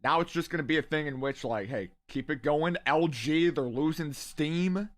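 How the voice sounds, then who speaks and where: A young man in his twenties hosting a live watch party, rambling excitedly to his chat and saying he is losing his voice. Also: fairly high pitch, normal speed. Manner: hyped, rambling, talks to chat.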